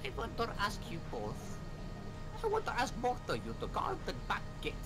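A woman's voice: on the high side, huge pitch swings, moderate pace. Lady doing a polite castle officer for a game, wildly up and down voice, music behind. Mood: courteous, businesslike. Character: courteous castle officer briefing guards in fantasy game. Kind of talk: amateur video game dub.

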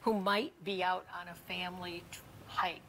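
Gravelly-voiced woman TV news anchor. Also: on the low side, steady tone, moderate pace, fairly bright tone, a little hiss or hum, rough recording. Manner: friendly, leading questions. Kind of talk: TV studio interview. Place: TV news studio. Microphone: lapel mic.

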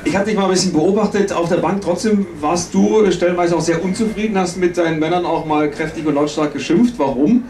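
Higher-voiced man moderating in an echoey room. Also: fairly quick, few pauses, a little mellow, a little hiss or hum. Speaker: press conference moderator. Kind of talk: hosting a sports press conference. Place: indoor press conference room. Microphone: handheld microphone.

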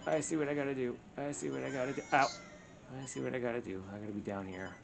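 Creaky-voiced gamer, muttering and swearing. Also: medium pitch, fairly even intonation, racing. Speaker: gamer on a let's play show. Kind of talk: casual gameplay chat. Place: indoors, room with a TV screen. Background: video game music.

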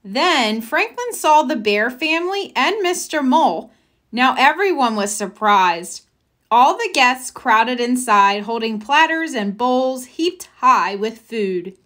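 Lively, expressive woman reading a storybook. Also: high-pitched, slow pace. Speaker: storybook reader. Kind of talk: children's read-aloud narration.

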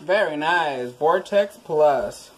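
A man's voice: a little high, animated, slow pace, a crowd in the background. Curious man asking questions in a kitchen. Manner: curious, asks lots of questions. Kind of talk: casual family chat in the kitchen. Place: home kitchen. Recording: noisy kitchen background.

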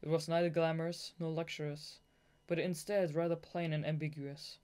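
Young narrator with a mid-pitched voice. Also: normal speed, clean tone. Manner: giggly, excitable asides between read passages. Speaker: audiobook reader. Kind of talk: scripted novel narration with blooper asides.

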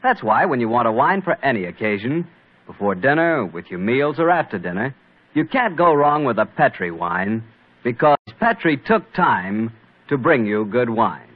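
A man announcing in old-time radio style, smooth and folksy with a lively, rising and falling delivery. His voice comes through a dull, muffled broadcast sound. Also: mid-range voice, normal speed.

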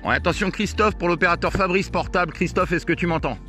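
A man's voice: high voice, fast, loud music behind the voice. A man chatting with other operators as an amateur radio operator. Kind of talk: radio contact chat.

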